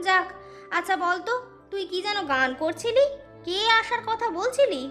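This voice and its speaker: A woman voicing a gossipy, sharp-tongued village housewife in a cartoon folk tale, with a teasing, chiding manner. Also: very high-pitched, animated, normal speed, faint music behind, heavily acted. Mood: curious, grumbling.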